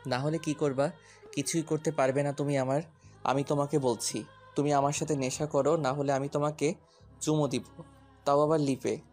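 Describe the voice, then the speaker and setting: A man's voice: a little high, level tone, normal speed, darkish tone, lightly acted. An actor playing a brash, possessive young man in a romantic audio story, taunting, stubborn and commanding. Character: brash, possessive young man, often drunk, teasing and menacing. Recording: music bed behind the voice.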